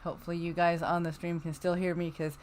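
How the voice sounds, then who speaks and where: A woman's voice: fairly low pitch, little pitch movement, quick pace, a little mellow. A woman hosting a casual livestream in a chatty, rambling, folksy way. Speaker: livestream host. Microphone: headset or USB microphone.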